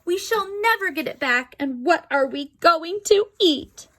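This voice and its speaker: A woman voicing a fretful storybook mother who has sprouted wings, in a high, fluttery voice. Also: animated, a little slow, sharp tone, full character voice. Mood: dismayed. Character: fretful mother bird-woman, fussy and shrill.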